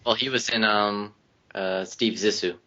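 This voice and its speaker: Guy reading out news on a podcast, lowish forceful voice, kinda halting, muffled audio. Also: steady tone. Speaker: podcast panelist presenting news. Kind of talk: casual group podcast chat. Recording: dull lo-fi online audio.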